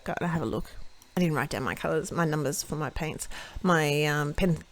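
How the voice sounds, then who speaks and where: A woman hosting a watercolour card-making tutorial, chatting through each step as she paints and often correcting herself mid-sentence, her voice a little croaky. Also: low-pitched, normal speed. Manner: chatty, self-correcting, thinks aloud while painting. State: slightly croaky voice. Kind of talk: casual step-by-step craft demonstration.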